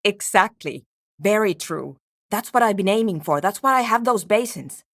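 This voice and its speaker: A woman's voice: mid-range voice, animated, moderate pace, a bit bright, dry recording. An actress playing a brusque, nerdy woman programmer in a video game, with a dry, matter-of-fact, sardonic manner. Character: brusque, nerdy young woman programmer researching a radio anomaly. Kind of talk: video game character dialogue.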